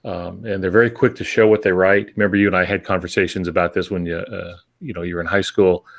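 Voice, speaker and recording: A middle-aged or older man co-hosting a podcast, explaining things in a measured, reflective, conversational way. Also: low-pitched, level tone, gravelly, lo-fi sound. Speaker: podcast co-host and experienced writer.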